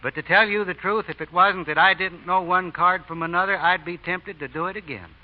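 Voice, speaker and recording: An American actor playing a folksy, polite deacon with a sly show of naivety, in old-time radio drama style. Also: male voice, high-pitched, fairly even intonation, brisk, close to an everyday voice. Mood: guileless. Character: folksy mild-mannered deacon, slyly feigning innocence at cards. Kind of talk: 1930s-40s radio drama acting. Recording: dull, noisy old radio recording.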